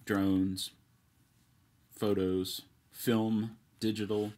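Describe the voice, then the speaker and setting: Very deep-voiced man in casual conversation. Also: flat delivery, drawn-out words, darkish tone. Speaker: co-host. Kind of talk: casual chat. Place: indoor studio room full of guitars, seated at a table.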